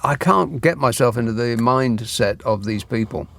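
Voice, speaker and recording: A man hosting a late-night radio phone-in, reading listener texts with dry, deadpan sarcasm. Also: mid-range voice, normal speed, creaky. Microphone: studio microphone.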